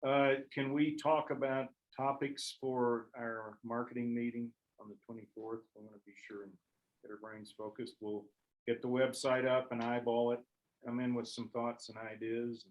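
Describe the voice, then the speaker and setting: A man talking informally during a local board meeting, relaxed and loosely worded, often trailing off. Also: medium pitch, moderate pace, lo-fi sound. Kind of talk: casual meeting discussion. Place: meeting room.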